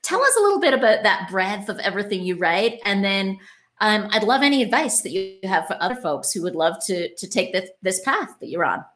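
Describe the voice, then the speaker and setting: A woman's voice: medium pitch, big pitch swings, a little fast, fairly bright tone. A woman hosting a casual podcast interview, chatting in an enthusiastic, reflective way. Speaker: podcast host interviewing an author.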